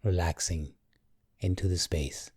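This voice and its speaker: Deep mellow guy doing a guided meditation, calm and gentle, really slow w long pauses. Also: breathy edge, no room sound, studio quality.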